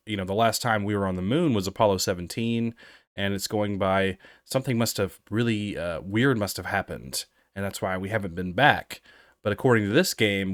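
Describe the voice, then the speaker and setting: A man's voice: lowish voice, dry recording, clean studio sound. A man hosting a game commentary video, sounding snuffly from a cold and talking loosely with lots of fillers. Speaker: gaming channel host. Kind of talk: casual let's-play commentary.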